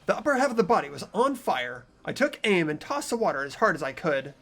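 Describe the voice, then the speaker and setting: A man reading a visual novel aloud in a theatrical, expressive way, with casual asides as a player. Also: high voice, fast, soft background music. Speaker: player voicing and narrating in a fan playthrough.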